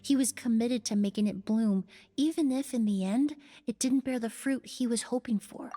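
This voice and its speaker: A woman voicing a calm, reflective female perfumer in a video game, speaking in a soft, thoughtful, measured way. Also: on the high side, natural voice. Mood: grateful. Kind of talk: game voice acting.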